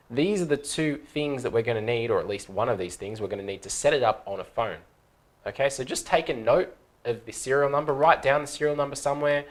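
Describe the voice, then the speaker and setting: A man in his thirties with a mid-pitched voice presents a tutorial. He explains each step informally, like a casual instructor, and checks in with the listener as he goes.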